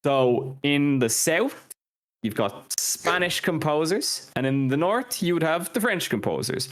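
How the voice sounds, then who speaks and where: A man's voice: a little high, unhurried. Guy cohosting a music podcast, voice jumps up and down a lot, chatty, laughs at his own pronunciation slips. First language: Irish and English speaker. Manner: animated, jokes about own mispronunciations. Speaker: podcast co-host. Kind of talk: casual scripted music-history chat.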